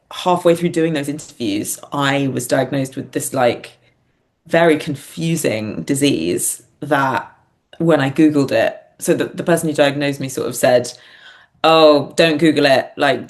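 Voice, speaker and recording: A woman talking candidly and reflectively in a casual interview, thinking aloud as she goes. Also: rumbling low. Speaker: podcast guest, playwright and performer.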